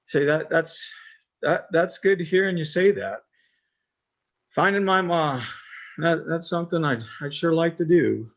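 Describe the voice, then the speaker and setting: A man playing a naive, devoted son in an old time radio horror play, speaking in a halting, stammering, earnest way. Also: fairly high pitch, a bit bright, dull audio. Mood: hopeful, wistful. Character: naive, simple, devoted son pining for missing mother.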